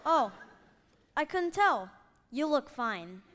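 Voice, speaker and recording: A young, boyish scan technician in a staged radio play. The voice is very high and bright, with slangy, easily distracted delivery and lively swings in pitch. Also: slow, heavily acted. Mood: casual, indifferent. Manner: slangy, gushing, tactless. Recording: faint noise on stage recording.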